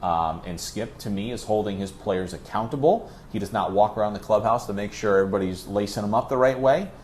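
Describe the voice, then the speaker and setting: A man's voice: lowish voice, fairly quick, a little mellow, slight background noise. A man who works as a play-by-play announcer, talking conversationally and at length as an interview guest, pressing his points with emphatic repetition.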